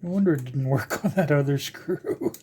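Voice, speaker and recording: An older electronics hobbyist giving a casual, unscripted teardown narration, halting and musing aloud while working with their hands. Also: medium pitch, a little mellow, slightly rough. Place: indoors at a workbench.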